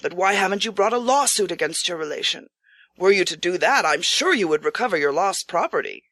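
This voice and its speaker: Disguised nobleman as wandering priest, audiobook female reader: earnest, courteous, bright voice, dry recording. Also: lowish voice, lively intonation, brisk, no echo. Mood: sympathetic. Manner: earnest, kindly, formal old-fashioned speech. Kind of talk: audiobook character acting.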